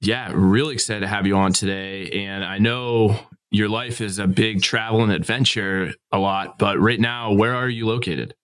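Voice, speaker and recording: A male podcast host with a somewhat low voice asks gentle, considerate questions. The recording is dry and close, with no room sound. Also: steady tone, moderate pace, few pauses, no room sound. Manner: friendly, careful questioning. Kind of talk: interview questions.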